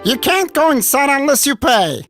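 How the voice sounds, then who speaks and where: A bossy clown from an animated fairy tale played by a man. The voice is high and very animated in pitch, with some gravel, barring the way. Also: normal speed, soft background music, heavily acted. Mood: stern refusal. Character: bossy, gruff male circus clown guarding the entrance.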